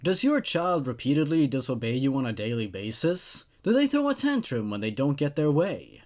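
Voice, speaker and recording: A man voicing a slick, menacing company pitchman in an infomercial-style horror story. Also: sing-song, moderate pace, a little mellow, natural voice. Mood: salesman enthusiasm. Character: slick, menacing company pitchman selling child-scaring services.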